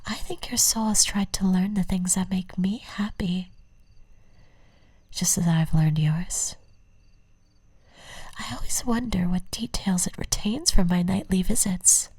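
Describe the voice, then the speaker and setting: A woman playing an obsessive, lovesick stalker in an ASMR roleplay, whispering breathily in slow, deliberate, coaxing phrases. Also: on the low side, mellow, slightly rough, dry recording. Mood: adoring, insistent.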